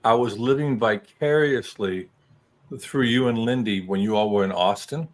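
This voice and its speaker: A man's voice: on the low side, normal speed. A man in his early sixties chatting as a guest on a video call. He rambles, with many restarts, and is self-deprecating. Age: 60s. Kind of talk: casual video call chat.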